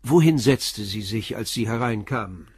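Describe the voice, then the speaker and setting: An actor playing a calm middle-aged police inspector in a radio drama, asking short, persistent questions. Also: male voice, lowish voice, normal speed, husky, no echo, clean studio sound. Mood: questioning.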